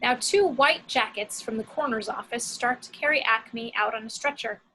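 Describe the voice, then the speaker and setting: A woman narrates a script as the reader of action lines. Her voice is on the high side and clearly bright, with a forward edge. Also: normal speed, rough recording. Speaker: narrator reading action descriptions.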